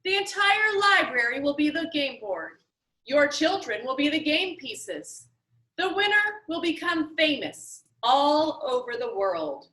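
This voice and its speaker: A woman voicing an eccentric, showy billionaire game-maker in a storybook reading, announcing grandly with drawn-out emphasis. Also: high-pitched, big pitch swings, sharp tone, pitched above the performer's own voice, full character voice. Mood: grand, excited. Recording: roomy echo.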